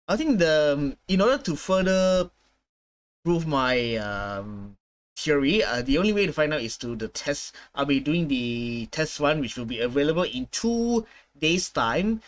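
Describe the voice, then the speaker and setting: A man in his twenties hosting a casual gaming reaction, halting and repeating himself as he searches for words. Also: fairly high pitch, very animated. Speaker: gaming reaction video host. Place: small room at home, indoors.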